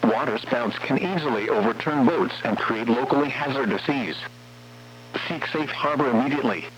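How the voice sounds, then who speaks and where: A man's voice: medium pitch, expressive, moderate pace. An American man reading formal weather warning bulletins as a radio announcer, in an impersonal, synthetic-sounding delivery. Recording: muffled, noisy radio broadcast with hum.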